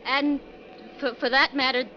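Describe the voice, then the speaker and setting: A woman's voice: high voice, level tone, unhurried. A woman playing a nervous, troubled wife on a bus trip in a 1940s radio drama, speaking hesitantly and earnestly. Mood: anxious suspicion. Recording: dull, noisy 1940s radio recording.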